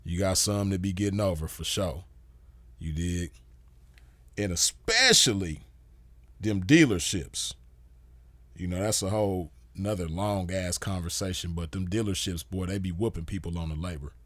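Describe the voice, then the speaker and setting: A man's voice: sits low, normal speed, fairly bright tone, no echo. A man giving a casual commentary monologue as host, laid-back and full of "you know" fillers. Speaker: podcast host.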